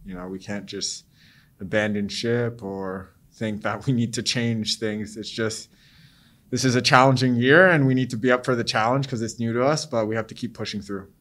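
A young man, a pro soccer player, answering post-game press questions in a measured, steady way with frequent fillers. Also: medium pitch. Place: press room before a sponsor backdrop.

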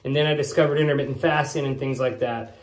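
A man's voice: medium pitch, steady tone, fast talker. A man in his forties hosting a casual shaving vlog, talking in a chatty, rambling way while lathering and shaving in a small home bathroom. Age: 40s. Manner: chatty, rambling, folksy explanations. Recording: echoey bathroom.

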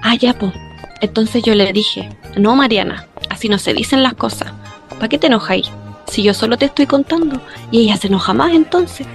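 A woman's voice: a little high, moderate pace, faint music behind, natural voice. A woman with a Chilean accent playing a catty, envious young gossip in a radio drama, chatty and colloquial.

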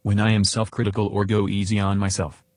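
An earnest chatbot reenacted by a man speaks in a lowish, husky voice. It gives short, philosophical, matter-of-fact answers as a podcast guest, in a dry recording. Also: completely flat delivery, a little fast, no echo, lightly acted. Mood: earnest, measured. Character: earnest, philosophical male chatbot being interviewed.

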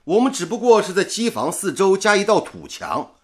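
An earnest patriotic radio engineer. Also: male voice, sits high, normal speed. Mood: instructive. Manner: earnest, steady, gently persuasive. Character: earnest dedicated male radio engineer, young adult, patriotic and calm. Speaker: radio engineer in a period radio drama. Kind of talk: 1930s-set radio drama acting.

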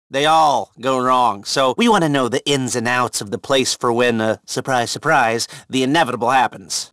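An actor playing a chatty, sardonic agent handler who gives game mission orders with wry, hesitant asides. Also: male voice, sits high, sharp tone, no room sound, clean studio sound. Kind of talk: conversational video game mission dialogue.

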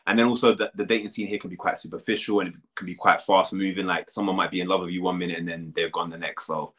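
A man's voice: low voice, flat delivery, quick pace, fairly bright tone. A man in his thirties talking casually as an interview guest, full of filler words. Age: 30s. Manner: chatty, lots of "like" fillers.

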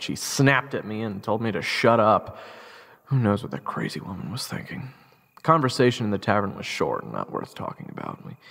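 A man's voice: on the low side, gravelly, fairly bright tone, heavily acted. A man playing a joking young adventurer who narrates his story in a wry, chatty way. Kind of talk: first-person story narration. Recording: long reverberant tail.

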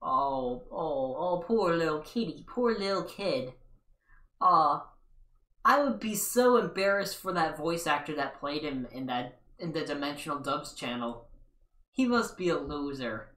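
A man's voice: a little high, lively intonation, a little slow, bright, forceful tone. A young man giving a rambling, casual recap commentary from an armchair in a small living room, with sarcastic asides. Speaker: video commentator. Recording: echoey room, mic far off.

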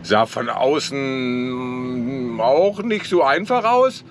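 A man's voice: mid-range voice, expressive, drawn-out words. A middle-aged man casually narrating a travel vlog into a handheld camera outdoors. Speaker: sailing vlogger. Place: outdoors, boat deck and shore. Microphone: handheld camera at arm's length. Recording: noisy outdoor camera audio.

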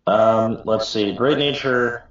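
A man's voice: fairly low pitch, takes it slowly, fairly bright tone. A man in his twenties chatting casually, hesitant and full of fillers. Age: 20s. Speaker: co-host. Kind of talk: casual group chat. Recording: echoey room, distant mic, dull sound.

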